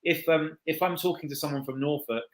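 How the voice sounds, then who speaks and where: A man's voice: a little high, steady tone, fairly quick. A middle-aged man from Norwich with a Norwich accent, chatting casually as a guest and laughing easily. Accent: Norwich, England. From Norwich, England. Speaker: guest expert. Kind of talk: casual chat.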